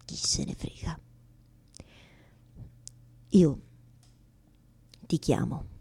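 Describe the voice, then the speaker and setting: A woman's voice: sits low, very slow, vocal fry, dark tone, husky, whispered, heavily acted. An actress performing a neurotic, lovelorn woman in a rambling, colloquial theatrical radio monologue addressed to God, full of repetitions and tag questions. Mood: agitated, resigned. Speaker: stage actress in a solo monologue.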